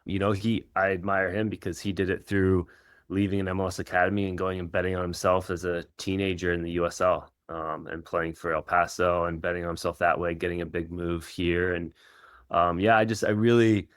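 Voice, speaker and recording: A middle-aged man who grew up in Israel, Kenya and the United States, answering interview questions in an informal, reflective, halting way as a coach. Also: low voice, little pitch movement, clean tone, no echo, clean studio sound. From Israel and Kenya, United States from age 13. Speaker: professional soccer coach.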